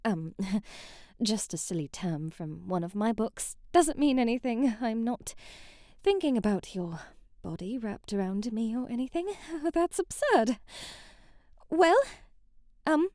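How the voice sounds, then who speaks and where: Sheltered young princess, played by a woman, bookish, flustered and haughty; soft mellow tone, unhurried, for intimate ASMR roleplay. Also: a little high, animated, no room sound, studio quality. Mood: flustered, shy. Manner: prim, old-fashioned diction, coy hesitant stammering.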